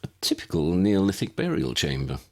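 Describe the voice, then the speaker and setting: A man voicing a courteous, pedantic old gentleman scholar in an audiobook, wordy, formal and precise. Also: low-pitched, huge pitch swings, a little fast, full character voice. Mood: measured, precise.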